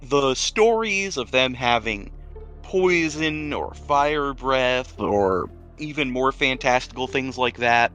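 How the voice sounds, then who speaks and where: A man acting as game master, narrating a tabletop role-playing game in measured, deliberate phrases with pauses. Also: medium pitch, animated, a bit bright, soft background music.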